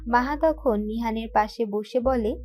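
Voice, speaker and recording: A woman narrates a scripted story. Her voice is fairly high, and she delivers it as a storyteller reading prepared text. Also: a little slow, a little mellow.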